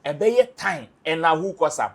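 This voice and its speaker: A man's voice: fairly high pitch, sing-song, normal speed, gravelly. A man with a Ghanaian accent, arguing passionately as a panelist on an entertainment talk show, firing off rhetorical questions. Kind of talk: animated studio discussion.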